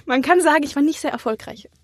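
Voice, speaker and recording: A woman's voice: high voice, huge pitch swings, normal speed, a little hiss or hum. A young woman telling anecdotes candidly in a casual chat as an interview guest. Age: young adult. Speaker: interview guest, acting student.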